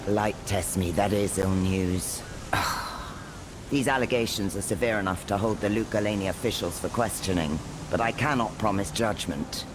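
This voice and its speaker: A man's voice: fairly low pitch, moderate pace, background noise, natural voice. A man playing a stern, weary authority figure in a video game, formal and commanding. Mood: grave, weary.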